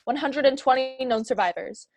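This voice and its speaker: A woman's voice: high voice, normal speed, darkish tone. A young woman acting a solemn news announcer formally reporting a catastrophe in a radio drama. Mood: grave. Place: plain indoor room.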